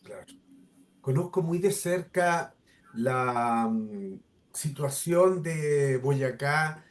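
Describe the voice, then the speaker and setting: A man's voice: mid-range voice, very slow. A middle-aged Chilean man with a Chilean accent, speaking formally in a discussion with many hesitant fillers and emphatic stresses. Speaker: interviewer. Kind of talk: formal discussion, addressing a researcher.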